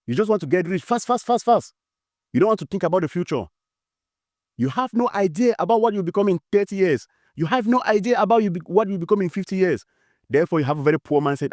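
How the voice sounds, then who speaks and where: A man's voice: on the high side, a little mellow, no echo, clean studio sound. A man giving an unscripted motivational talk, emphatic and repetitive, with frequent fillers. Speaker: motivational speaker.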